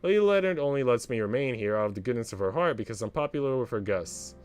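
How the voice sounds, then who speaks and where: Guy doing dry sardonic older maid lady, unbothered, lilting pitch, music in back. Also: lively intonation, fast talker, a little mellow, clear, resonant tone, lightly acted. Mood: resigned, wry. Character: wry, worldly older parlour maid, an outsider woman.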